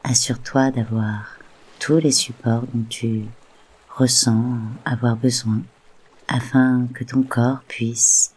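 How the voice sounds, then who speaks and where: Really slow soft breathy voice leading a guided relaxation, soothing, gentle gaps between phrases. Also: mid-range voice, level tone, poor audio. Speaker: guided meditation host.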